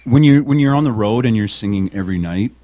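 A man from Canada with a gravelly, loud, projecting voice, chatting as a musician guest in a radio studio. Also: fairly low pitch, expressive, quick pace. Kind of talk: casual interview chat.

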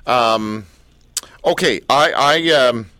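A man's voice: medium pitch, takes it slowly, pushed and bright. A man hosting a radio talk show and interviewing a guest in a joking, teasing way. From Canada.

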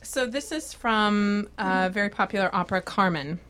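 A woman's voice: mid-range voice, normal speed, a bit bright, clean tone, no echo. A woman mezzo-soprano guest explaining voice types in a friendly way in a studio interview. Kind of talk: casual interview chat. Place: radio studio.

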